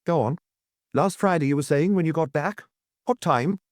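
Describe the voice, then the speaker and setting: A man's voice: on the high side, normal speed, no echo, studio quality, voice pushed up, natural voice. A man voicing a solemn examining magistrate in an audiobook, putting short, pointed, formal questions. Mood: probing.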